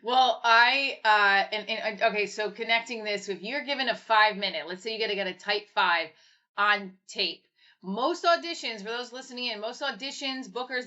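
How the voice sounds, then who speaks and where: A woman's voice: on the high side, bright, forceful tone. A woman hosting a casual livestream chat, asking loose, rambling questions with a self-deprecating streak. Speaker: livestream host. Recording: dull lo-fi stream audio.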